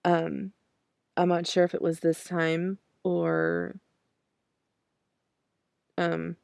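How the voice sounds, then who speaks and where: A young adult woman hosting a poetry podcast with a raspy voice, talking in a halting way with many restarts. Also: lowish voice, monotone, darkish tone, dry recording, studio quality. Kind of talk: casual talk and poetry reading.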